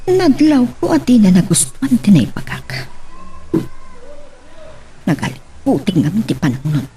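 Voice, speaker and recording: A woman playing an emotional wife in an Ilocano radio love drama, with an expressive, melodramatic delivery. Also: mid-range voice, unhurried, faint music behind. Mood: troubled, reproachful.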